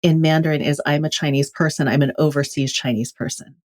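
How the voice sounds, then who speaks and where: A woman's voice: sits low, steady tone, fairly quick. A woman from California speaking as an author guest in an interview, thoughtful and candid. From California, USA. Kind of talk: reflective interview talk.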